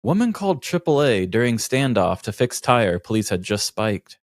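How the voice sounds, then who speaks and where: A man's voice: on the low side, very animated, normal speed, no echo, clean studio sound. A man hosting a podcast, reading out a news story and chatting casually about it. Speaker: podcast host.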